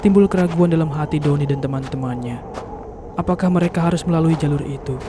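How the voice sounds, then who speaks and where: A man's voice: fairly high pitch, fast. A man narrating a scripted horror story in a dramatic, solemn storytelling manner. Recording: noisy background ambience.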